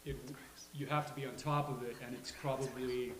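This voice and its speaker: Male actor in casual discussion, mid pitch, halting and wry, roomy live recording with hiss. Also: fairly even intonation, fairly bright tone. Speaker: actor discussing a table read. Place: live venue with a small studio audience. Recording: echoey room with hiss.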